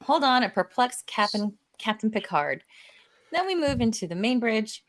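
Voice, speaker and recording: Higher-voiced woman narrating, lively inflection. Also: moderate pace. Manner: informal, playful asides. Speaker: host and narrator. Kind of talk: live table read narration of stage directions.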